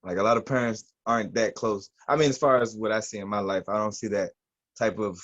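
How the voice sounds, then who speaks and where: A man hosting a casual podcast chat, relaxed and easygoing in his reactions. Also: fairly low pitch, brisk. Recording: dull lo-fi sound.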